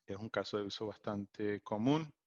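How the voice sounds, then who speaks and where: A low-voiced man, a native English speaker, presents a technical webinar over a video call. He talks slowly, with frequent fillers and restarts. The sound is dry and a little muffled, as through a laptop mic. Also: darkish tone, no echo. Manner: hesitant, many fillers and restarts. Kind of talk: online tech presentation. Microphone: computer mic on a video call. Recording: dull lo-fi video call audio.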